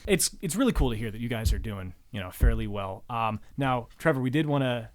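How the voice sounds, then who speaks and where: A man's voice: mid-range voice, expressive, a little fast, dry recording. A man hosting a podcast in a casual, conversational interview style. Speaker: podcast host.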